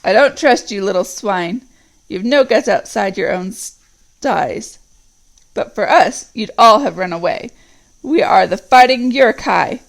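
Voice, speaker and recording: A woman acts out a hulking orc captain in a storybook reading. He growls slow and heavy, with a gravelly edge, and barks orders as if nobody dares argue. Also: mid-range voice, lively intonation, rough recording. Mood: boastful. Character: huge male orc captain, commanding, brutal.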